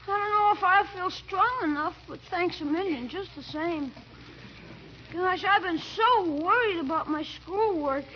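A woman's voice: very high voice, a little slow. A woman playing a wily, wheedling schoolboy nephew in an old American radio comedy. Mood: meek, feigned weakness. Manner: whiny, wheedling. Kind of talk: 1940s-50s radio comedy acting. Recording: muffled, noisy old radio recording.

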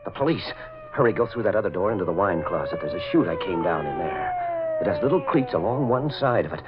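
An actor playing a confident, wry masked crime-fighter in a 1940s radio adventure. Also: male voice, on the low side, very animated, fast. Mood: brisk, planning. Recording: muffled, noisy old radio recording.

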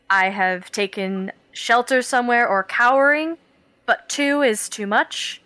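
A woman playing a tabletop game, laying out a plan in character in quick, lively talk. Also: medium pitch, smooth, dry recording. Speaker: player.

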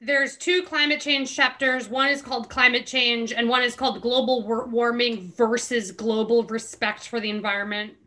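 A woman's voice: a little high, steady tone, normal speed, few pauses, bright, forceful tone. A woman guest journalist casually reading aloud from a book and adding her own comments.

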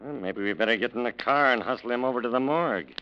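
An actor playing a hard-boiled, dryly casual investigator in a 1940s radio crime drama, on an old recording. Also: male voice, mid-range voice, quick pace, dull audio, lightly acted. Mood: wry, cool. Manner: wry, laconic.

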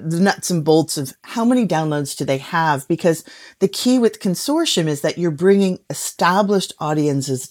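A woman's voice: sits low, a little mellow. A woman speaking as an expert guest in a conversational interview, explaining things and often checking in with short rhetorical questions. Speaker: podcast industry guest expert.

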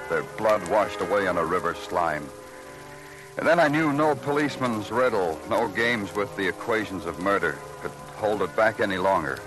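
Casting: weary American police detective played by a man, lowish voice, terse and dramatic, vintage radio noir with clear music. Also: close to an everyday voice, low-quality recording. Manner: clipped, dramatic, brooding delivery. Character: weary hard-boiled police detective narrating his murder case. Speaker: lead actor and narrator. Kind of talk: old-time radio crime drama acting.

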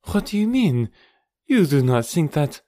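A man's voice: on the high side, big pitch swings, measured pace, warm tone, dry recording, studio quality, voice pushed up. A man voicing an alarmed, passionate young French countess in dramatic audiobook dialogue. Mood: puzzled, tense.

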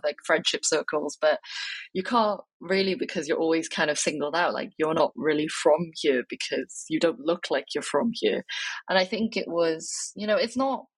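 A woman's voice: low voice, slightly rough, no echo, rough recording. A young woman from Wales, talking reflectively as an interview guest, with many fillers and restarts. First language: Cantonese.

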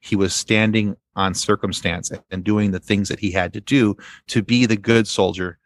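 A man's voice: fairly low pitch, fairly even intonation, normal speed, no room sound. A man in a casual fan discussion as a podcast guest, rambling and circling back to repeat his phrases.

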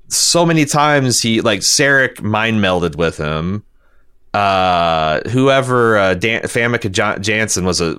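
A man with a fairly low voice hosts a casual discussion podcast. He thinks aloud, rambling and doubling back on his words as he works through an opinion or reads out feedback. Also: a bit bright, smooth. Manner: halting, rambling, repeats words as he reasons. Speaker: podcast host reading listener feedback.

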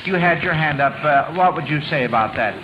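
A man with a fairly high voice hosts a vintage radio quiz show. He is folksy and chatty, reading questions and echoing answers. The broadcast is muffled, with clear background noise. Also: lively intonation, a little fast.

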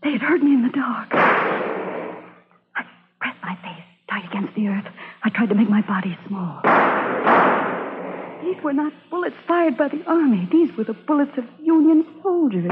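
An actress playing a brave young woman spy in an old-time radio drama, speaking in a solemn, dramatic, halting way. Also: medium pitch, phone-quality sound, very noisy, lightly acted. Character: brave young woman spy narrating her wartime ordeal. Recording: thin vintage radio recording, music behind.

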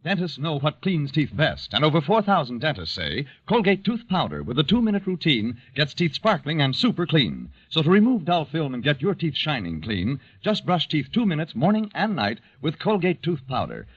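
A male vintage radio pitchman with a husky, fairly high voice and hugely animated intonation. He sells instructively, close and dry, on a dull old recording. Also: moderate pace, hardly any pauses, no room sound. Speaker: commercial announcer. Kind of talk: 1940s radio advertisement read.

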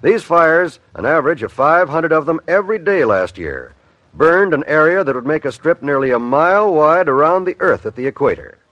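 A male announcer from classic radio with a lively, varied pitch and a dry, close sound. He reads formally and earnestly, stressing key words. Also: normal speed, no echo, highs cut off. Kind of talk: scripted old-time radio public service announcement.

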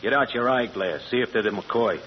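A man's voice: fairly low pitch, a little fast, close to an everyday voice. A man acting as a distrustful, commanding gang boss in a vintage radio drama, with clipped, slangy talk. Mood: curt. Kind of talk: 1940s radio gangster acting. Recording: muffled old radio broadcast, faint noise.

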